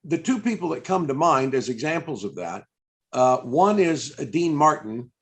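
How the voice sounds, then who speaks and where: A man conducting a conversational interview over a video call, asking long, musing questions in a halting, rambling way as he thinks aloud. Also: fairly high pitch, very animated. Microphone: video call microphone.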